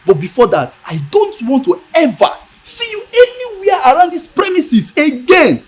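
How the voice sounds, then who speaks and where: A woman's voice: huge pitch swings, moderate pace, a little mellow, exaggerated voice. A woman playing a stern male restaurant manager who scolds and fires a clumsy worker. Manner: stern, scolding, low put-on voice.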